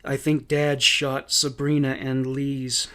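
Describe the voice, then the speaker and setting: A man's voice: mid-range voice, flat delivery, slow pace, a bit bright. A man voicing a defiant, distraught son, firm and emotional, in a narrated true story. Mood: tearful.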